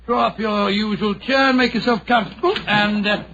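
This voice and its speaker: An actor playing Doctor Watson, a genial older British gentleman storyteller, avuncular and a little hesitant, in 1940s radio drama style. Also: high voice, brisk, creaky, a little hiss or hum, heavily acted. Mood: genial, reminiscing. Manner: avuncular, hesitant, old-fashioned phrasing. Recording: muffled vintage 1940s radio recording.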